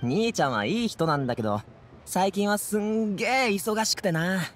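ゲームで、男性声優が演じる明るく気さくな若い護衛。くだけた少年っぽい口調で話す。. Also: male voice, sits high, animated, normal speed, fairly bright tone, soft background music. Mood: friendly. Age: young adult. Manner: casual, breezy, boyish slang. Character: upbeat, friendly young man, transport guard. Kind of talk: Japanese anime game voice acting.

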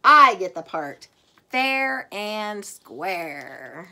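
A woman's voice: mid-range voice, very animated, very slow, pushed and bright, voice pushed down, heavily acted. A woman voicing a tidy, confident square shape in a children's storybook, plain and self-satisfied.

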